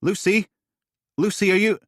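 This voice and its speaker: A man's voice: sits high, normal speed, no room sound, clean studio sound, voice pushed up, close to an everyday voice. A male narrator voicing an exasperated divorced father in an audiobook, pleading and frustrated. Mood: alarmed. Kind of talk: audiobook character voice.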